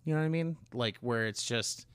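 A man chatting casually as a podcast co-host, halting and rambling as he corrects himself along the way. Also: mid-range voice, clear, resonant tone, no echo. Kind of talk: casual chat.

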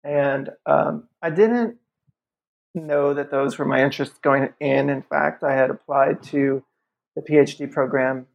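A history professor from upstate New York, talking in a relaxed podcast interview, thoughtful and full of ums and restarts. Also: male voice, fairly high pitch, unhurried, vocal fry. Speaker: guest author. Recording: muffled lo-fi audio.

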